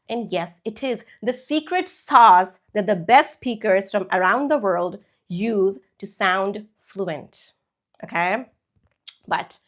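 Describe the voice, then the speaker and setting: Chatty woman teaching. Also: mid-range voice. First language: Hindi. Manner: chatty, animated, frequent asides and tag questions. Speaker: English teacher. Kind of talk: live stream English lesson.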